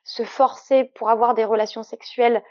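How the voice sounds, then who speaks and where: Higher-voiced woman, muffled dry sound. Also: flat delivery, normal speed, a little mellow. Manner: earnest, explanatory, frequent fillers. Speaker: sexologist guest. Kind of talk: casual interview conversation.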